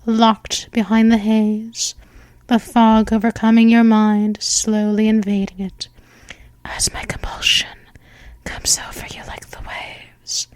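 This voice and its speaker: A woman playing a seductive vampire mistress in a hypnosis roleplay, speaking softly and slipping into whispers. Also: a little high, level tone, clear, resonant tone. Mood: hypnotic. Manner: slow, soft, hypnotic, with whispered stretches.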